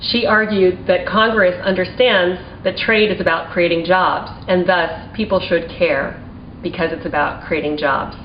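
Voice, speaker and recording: A forty-year-old American woman giving prepared testimony as a small business owner, in an earnest, deliberate, formal manner. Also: lowish voice, steady tone. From United States (Maryland), partly raised in Taiwan and China. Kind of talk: prepared advocacy testimony speech. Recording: roomy, distant mic, dull sound, faint noise.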